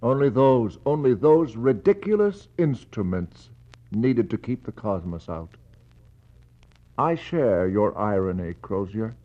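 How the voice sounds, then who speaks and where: Slow, brooding explorer. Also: male voice, lowish voice, sing-song. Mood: brooding, sorrowful. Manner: measured, incantatory, with weighty pauses. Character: brooding explorer retracing a lost expedition with grim sympathy. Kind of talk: 1960s radio play acting, poetic monologue. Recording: dull 1960s radio recording.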